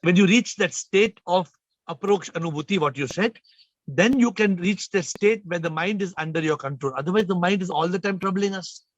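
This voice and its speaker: A man hosting a spiritual video call, talking with a slightly low, subdued voice, emphatic and repeating phrases as he questions listeners. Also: normal speed, dry recording. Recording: muffled video call audio.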